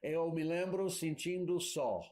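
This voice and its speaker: A man's voice: a little high, slow, a little mellow. Hesitant man interpreting a sermon. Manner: hesitant, searching for words, fillers and restarts.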